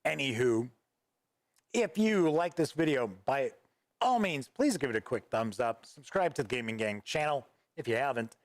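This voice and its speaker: A man's voice: medium pitch, lively intonation, normal speed, no room sound. A man hosting a gaming news livestream, rambling casually as he reads viewer chat aloud and answers it, close on a desk microphone. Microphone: studio microphone at a desk.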